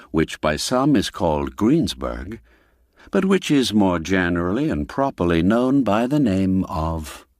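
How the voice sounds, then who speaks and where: A man narrating a classic radio story in a measured, deliberate storytelling manner. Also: sits low, no room sound, clean studio sound. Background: faint background music.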